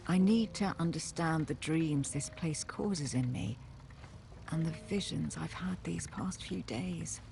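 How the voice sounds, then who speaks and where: A woman playing an uneasy, introspective therapist in a brooding, measured video game monologue. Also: sits low, vocal fry, a little mellow, a little hiss or hum. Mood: torn, fearful.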